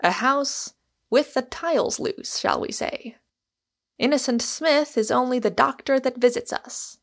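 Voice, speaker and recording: A woman voicing a sardonic, bitter Irishman in audiobook dialogue, with calm, deliberate irony. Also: sits high, vocal fry, voice pushed up, full character voice. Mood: mock-soothing, ironic. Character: gloomy, sardonic Irishman, quiet, bitter and ironical.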